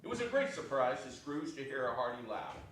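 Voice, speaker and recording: A man's voice: medium pitch, big pitch swings, brisk, fairly bright tone. A man narrating a literary story in a dramatic reading. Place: hall of arts center. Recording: echoey hall, distant mic, faint noise.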